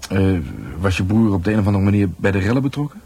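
An actor playing a polite, inquisitive police detective in a radio drama, questioning tactfully in a Dutch accent. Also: male voice, fairly low pitch, fast, mellow, close to an everyday voice. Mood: curious, probing. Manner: probing, hesitant questioning.

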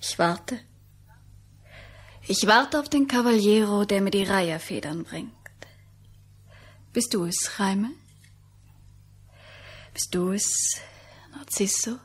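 An actress playing a flirtatious, scheming seductress in a German radio play, coquettish and teasing. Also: female voice, mid-range voice, slow pace, long pauses, breathy edge. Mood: seductive.

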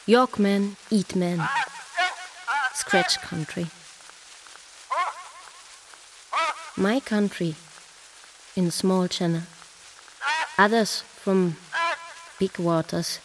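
A woman playing a Fuegian native who gives testimony in halting broken English in a radio play. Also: mid-range voice, slight background noise, low-quality recording. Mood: plain, insistent. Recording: voice over prominent music bed. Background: music.

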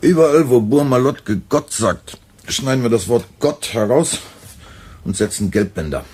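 An actor playing a young, clever radio editor in a radio drama, dry and wry. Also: male voice, mid-range voice, lively intonation, moderate pace, darkish tone, breathy edge.

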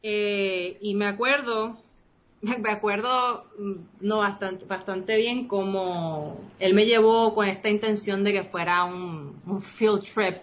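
A woman's voice: mid-range voice, steady tone, slow, muffled recording. A woman in her thirties from Costa Rica, living in Puerto Rico, with a Puerto Rican Spanish accent that has Costa Rican traces. She is a guest in a casual interview, rambling with restarts and fillers and mixing in English words. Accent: Puerto Rican Spanish with some Costa Rican traces. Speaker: interview guest, game designer.

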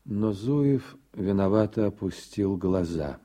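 A man reading scripted radio drama narration in a measured, literary storytelling manner. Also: fairly low pitch, expressive, warm tone.

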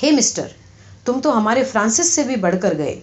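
A woman voicing a brash, excitable male American magazine reporter in a storybook, with a breezy, eager manner. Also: fairly high pitch. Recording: slightly dull, lo-fi audio.

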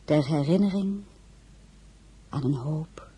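An actress playing a dignified, lonely crown princess, frank and wistful, speaking intimately in a dramatic audio play. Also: female voice, sits low, slow pace, warm tone, husky, exaggerated voice. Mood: wistful, dry. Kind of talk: dramatic radio play acting.

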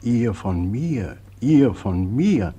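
A man's voice: mid-range voice, sing-song, very slow, warm tone, slightly rough, full character voice. An actor playing God as a sorrowful, helpless, tender old man, plaintive and lamenting, in a 1940s German radio drama. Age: older. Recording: 1940s radio recording with music behind.

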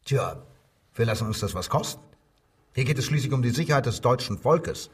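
A man's voice: medium pitch, lively intonation, fairly quick, breathy edge, recorded from a distance. An actor playing a male Nazi-era police inspector in a radio crime drama, falsely genial, probing and menacing. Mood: pointed, official. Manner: feigned joviality, probing, insinuating. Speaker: interrogating police detective.

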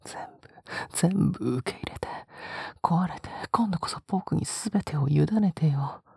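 A woman playing a sweetly possessive, sadistic young male captor in an audio drama, murmuring intimately to the listener in a husky, gently menacing voice that slips into whispers. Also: sits low, animated, slow, dry recording, clean studio sound, exaggerated voice. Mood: coaxing, whispery. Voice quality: breathy, husky.

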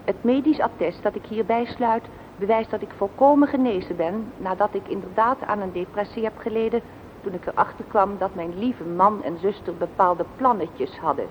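A woman's voice: normal speed, close to an everyday voice. Woman acting a grave, bitter, accusing wife. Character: suspicious, bitter wife, voicing her accusing letter. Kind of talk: Dutch radio play monologue. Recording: slightly dull old recording, faint rumble.

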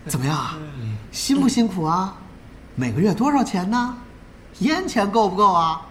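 Spiteful, shrill, swooping male rival. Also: very animated, normal speed, fairly bright tone, slight background noise. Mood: mock-friendly, sneering. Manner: theatrical sarcasm, mocking and taunting. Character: spiteful, sneering male esports player, resentful former subordinate. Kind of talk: radio drama acting. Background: background music.

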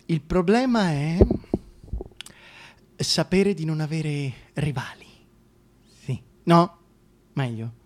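A man's voice: on the high side, expressive, slow pace, long pauses, slight background noise. An actor playing a scheming, sadistic dictator king in a theatrical radio monologue, crude, mocking and brooding, addressing the listener directly. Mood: brooding menace.

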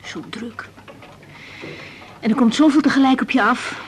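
A woman's voice: sits high, measured pace, breathy edge, full character voice. An actress in her twenties with a Netherlands Dutch accent, playing a busy, patient young doctor in a naturalistic radio drama. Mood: candid, affectionate.

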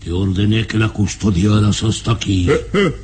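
An actor playing a sinister, irritable baron, imperious and contemptuous, in an old-time radio serial with music behind. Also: male voice, lowish voice, steady tone, normal speed, darkish tone, husky. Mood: angry, demanding.

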